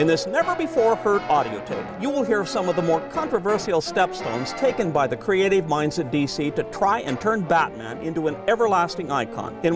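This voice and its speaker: Guy narrating a documentary type story, pretty animated, music behind him. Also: on the high side. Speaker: narrator and presenter.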